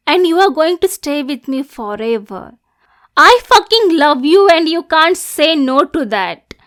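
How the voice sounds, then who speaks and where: A woman playing an obsessive, lovesick demon girl in an ASMR roleplay, clingy and possessive, addressing the listener directly. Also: sits high. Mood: possessive, menacing. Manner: breathy, clingy, swinging between tender and domineering.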